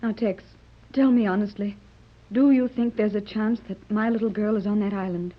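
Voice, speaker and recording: Woman playing an earnest, husky-voiced mother. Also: mid-range voice, fairly even intonation, normal speed, natural voice. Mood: hopeful, pleading. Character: earnest, hopeful mother searching for lost daughter. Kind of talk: 1930s radio serial acting. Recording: dull, muffled 1930s radio recording.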